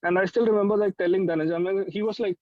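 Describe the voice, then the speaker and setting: A man's voice: high-pitched, fairly even intonation, racing, no echo. A young man with an Indian accent, talking informally and emphatically as an interview guest. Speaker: music producer, interview guest. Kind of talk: casual chat. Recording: dry, slightly dull lo-fi sound.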